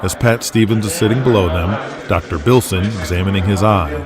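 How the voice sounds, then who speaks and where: Male narrator for a serialized audio drama, low register, crowd bed underneath. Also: fairly quick. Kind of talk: scripted present-tense story narration.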